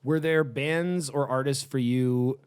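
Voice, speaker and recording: Chatty, animated male podcast host. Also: mid-range voice, slow pace, clean tone. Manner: animated, swears freely, chatty with frequent laughs. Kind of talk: casual interview chat.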